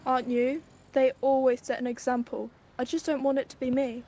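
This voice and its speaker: A woman acts a fearful, defensive young woman in an audio drama. Her voice is high and indignant, with faint ambience behind it. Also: level tone, a little fast, dark tone, muffled recording, slight background noise. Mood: anxious, defensive. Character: anxious, defensive, law-fearing young woman. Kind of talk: dystopian audio drama acting.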